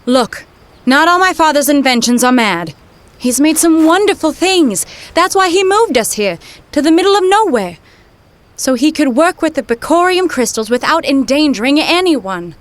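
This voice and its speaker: An actress playing a plucky, determined young time traveller in a comic audio drama, brisk and assertive. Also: female voice, sits high, big pitch swings. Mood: earnest.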